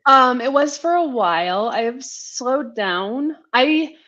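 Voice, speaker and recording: A woman's voice: high voice, animated, takes it slowly, fairly bright tone, dull audio. A woman speaking as a guest author in a casual interview, joking and self-deprecating.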